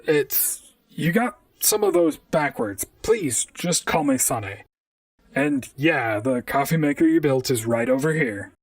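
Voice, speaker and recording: A robot gumshoe in a radio-style drama, dry-witted and chatty. The pitch swoops widely over a gravelly, creaky edge. The voice sits slightly back from the mic in a dry space. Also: wildly swooping pitch, a little slow, no room sound, distant mic, heavily acted. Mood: polite, brisk. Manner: dry, conversational first-person noir narration. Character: wry robot private detective, talkative and unflappable. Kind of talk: audio drama acting and narration.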